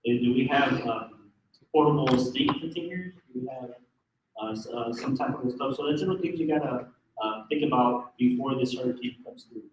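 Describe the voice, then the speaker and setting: A man with a naturally loud voice gives a public safety briefing, pausing often on ums and uhs and restarting his sentences. He sounds far from the microphone in a very echoey room, with a faint hum behind him. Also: medium pitch. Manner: hesitant, many fillers and restarts. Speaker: fire marshal office representative.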